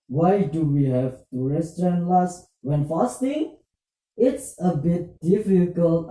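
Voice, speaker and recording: A performer voicing an earnest, hesitant young student puppet in a scripted puppet show, with halting, stilted phrasing. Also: medium pitch, dark tone. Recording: distant, echoey room, muffled.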